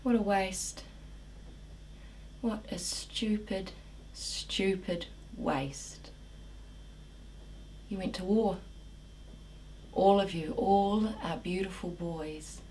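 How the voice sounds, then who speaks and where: A woman performing a dramatic monologue as a woman mourning the young men sent to war, slow, bitter and lyrical. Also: lowish voice, steady tone, darkish tone, distant mic, a little hiss or hum, pitched below the performer's own voice, low-quality recording. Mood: bitter grief.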